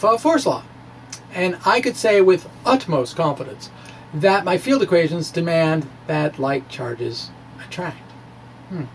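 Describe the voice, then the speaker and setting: A middle-aged man explaining physics informally to camera in a halting, conversational way with animated emphasis. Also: high voice, rough recording. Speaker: science video blogger. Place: small indoor room with bookshelves. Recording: clear steady background noise.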